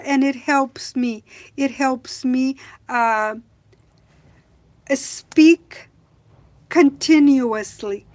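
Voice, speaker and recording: A woman hosting a casual livestream who speaks with a calm voice, reading viewer comments and chatting with many hesitations and fillers. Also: sits high. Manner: slow, soft-spoken, many hesitations. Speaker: livestream host.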